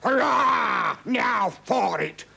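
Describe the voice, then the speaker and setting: A man's voice: high voice, lively intonation, extremely slow, creaky, pushed and bright, slightly rough, voice pushed up, full character voice. A man voicing a haughty, hot-tempered, spiteful storybook count who exclaims with scorn. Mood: gleeful, vindictive. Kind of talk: storybook character acting.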